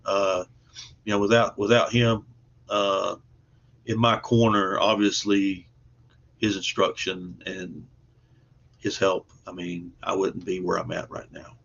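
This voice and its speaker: Male interview guest, lower register, earnest and folksy, casual delivery, dull online-call recording. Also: flat delivery, slow, vocal fry, pauses often, a bit bright. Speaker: guest, comic book artist and writer.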